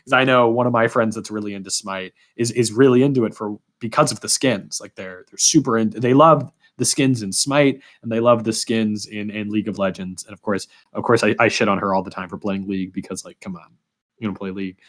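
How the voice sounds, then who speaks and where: A man's voice: fairly low pitch, fairly even intonation, fairly quick, a bit bright. A man interviewing as a podcast host in a casual, informal way, often stumbling over his words.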